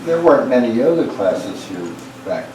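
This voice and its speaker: A man's voice: medium pitch, very animated, normal speed, a little mellow. A man interviewing, asking short follow-up questions in a casual conversational way. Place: bookstore event room. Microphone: distant microphone. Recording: very echoey room, distant mic, background hiss.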